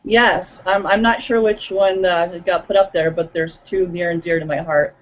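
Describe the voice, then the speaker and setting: Earnest woman guest, hesitant and soft-spoken. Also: fairly low pitch, steady tone, moderate pace, muffled recording. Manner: earnest, hesitant, with many ums. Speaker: guest coach. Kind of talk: online interview chat.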